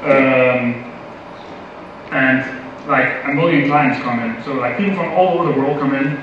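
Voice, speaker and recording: A man's voice: medium pitch, a little slow. Man from Denmark speaking in an echoey hall. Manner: casual, playful, many fillers. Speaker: conference speaker. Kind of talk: tech conference talk. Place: large conference hall. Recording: echoey hall from far, dull lo-fi audio, noisy.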